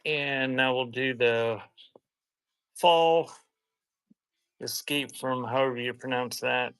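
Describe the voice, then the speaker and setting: A man's voice: mid-range voice, fairly even intonation, slow, fairly bright tone, clear, resonant tone, no echo. A man hosting a live auction as the seller, talking in casual, rambling sales patter mixed with chatty stories. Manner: informal, rambling, conversational.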